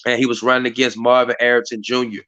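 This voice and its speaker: A hoarse man hosts a community live stream in a rambling way, full of fillers and restarts. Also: mid-range voice, little pitch movement, fast, sharp tone, no room sound, dull audio. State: hoarse, voice partly lost. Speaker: community activist hosting a live stream meeting. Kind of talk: casual hosted talk. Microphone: live stream mic.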